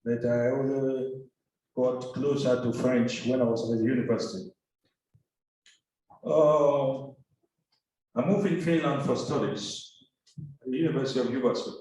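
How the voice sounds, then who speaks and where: A man from Cameroon giving a formal seminar presentation, speaking slowly and deliberately. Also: medium pitch, fairly even intonation, darkish tone, slight background noise. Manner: slow, deliberate, formal phrasing. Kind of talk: lecture presentation. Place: seminar hall. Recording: echoey hall from a distance.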